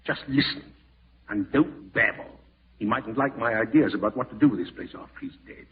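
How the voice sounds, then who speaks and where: Husky, suspicious bully, old radio. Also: male voice, medium pitch, fairly quick. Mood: bossy, menacing. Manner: curt, bossy, suspicious. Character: suspicious, domineering, scheming husband and nephew. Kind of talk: 1940s radio horror acting. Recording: tinny vintage radio broadcast.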